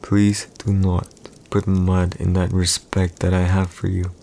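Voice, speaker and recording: A man's voice: sits low, completely flat delivery, a little slow, creaky, warm tone, a little hiss or hum. A man playing a haughty, teasing villain emperor in an ASMR audio roleplay, with formal, condescending, old-fashioned diction. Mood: grave, admiring.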